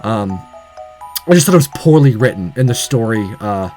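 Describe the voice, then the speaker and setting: A game video host giving casual, opinionated commentary in a blunt, exasperated, halting way. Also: mid-range voice, expressive, mellow, soft background music.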